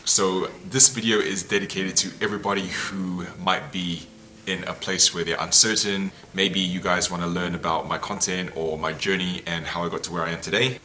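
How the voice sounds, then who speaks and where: Low-voiced New man over music. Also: little pitch movement, normal speed, few pauses, a little hiss or hum. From New Zealand. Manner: reflective and candid, loose and conversational. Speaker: travel content creator. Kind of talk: casual personal storytelling to camera. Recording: voice over loud background music.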